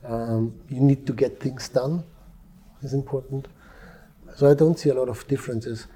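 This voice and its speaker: A man from Europe, a former police negotiator, talking calmly and with measured pauses in an interview. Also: mid-range voice. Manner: calm, measured, with frequent restarts. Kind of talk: interview conversation. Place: Dubai.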